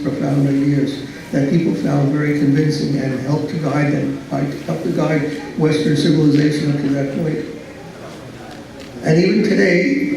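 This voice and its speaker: A soft-spoken man giving a philosophy lecture in a slow, hesitant way, full of fillers and restarts. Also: on the high side, creaky, slight background noise. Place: large echoey lecture hall. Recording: echoey hall, speaker far from mic.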